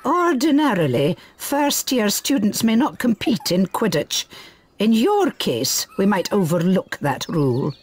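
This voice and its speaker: A woman voicing a stern, fair-minded older witch professor in a video game, formal and measured. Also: medium pitch, sing-song, a little mellow, a little hiss or hum. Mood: stern approval.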